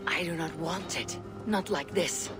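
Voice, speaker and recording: A woman's voice: fairly low pitch, natural voice. An actress playing a resolute female viking warrior who speaks firmly and earnestly. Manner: firm, earnest, measured. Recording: game audio with music behind.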